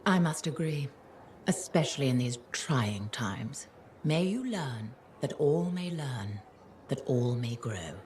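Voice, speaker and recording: An actress playing a scatterbrained, affectionately bossy chronicler in a video game, with genteel, wordy, theatrical phrasing. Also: female voice, a bass voice, expressive, slow, darkish tone, a little hiss or hum, exaggerated voice. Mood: earnest, lofty. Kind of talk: video game character dialogue.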